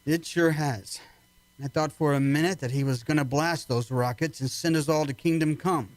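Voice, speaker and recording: A man acting a calm, skeptical military doctor in an amateur radio play. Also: a little high, normal speed, no room sound, natural voice. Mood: musing, curious.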